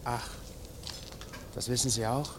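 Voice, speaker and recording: Guy acting a small time burglar spilling it all, flat matter-of-fact, middle voice, noisy old radio drama. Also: mid-range voice, a little slow, lightly acted. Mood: resigned. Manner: terse, matter-of-fact confessing. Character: resigned male small-time burglar confessing to police.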